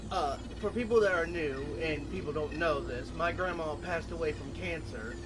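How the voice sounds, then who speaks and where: A man streaming a game, chatting casually and telling things in a halting, confiding way. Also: on the high side. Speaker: gameplay streamer. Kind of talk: casual chat over gameplay. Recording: distant mic with clear background noise.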